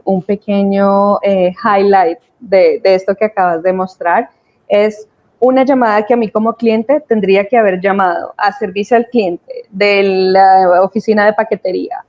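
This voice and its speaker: A woman's voice: mid-range voice, a little mellow. Muffled lo-fi woman commenting on a webinar. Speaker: webinar co-presenter on the commercial side.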